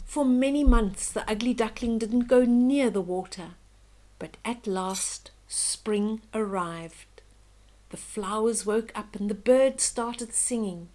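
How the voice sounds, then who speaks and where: An older woman reading a children's bedtime story aloud in a gentle, expressive, dramatic way. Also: on the high side, low-quality recording. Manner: slow, gentle, dramatic, with hushed whispery moments.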